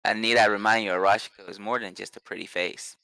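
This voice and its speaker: A young man with a bright, smooth voice, recorded close and dry. He talks in an enthusiastic, rambling way, padding his points with fillers as he defends his views in a casual podcast chat. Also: fairly low pitch, fairly even intonation, fast, no echo, low-quality recording. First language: not Japanese; speaks English. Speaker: podcast co-host. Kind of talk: casual anime review chat.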